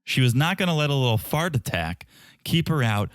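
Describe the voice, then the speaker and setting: A man co-hosts a casual podcast, joking and teasing in a playful way. His voice swings widely in pitch. The sound is dry and close, with no room around it. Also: brisk, no room sound. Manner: playful, teasing, animated delivery. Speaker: podcast co-host. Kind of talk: casual comedic chat.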